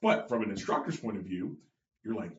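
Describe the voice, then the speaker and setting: A man's voice: lowish voice, animated, brisk, slight background noise. A man hosting a casual chat, blunt and given to swearing, acting out what he and others say. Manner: blunt, swears, acts out quotes. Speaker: podcast host, jiu-jitsu instructor. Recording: roomy, slightly dull sound.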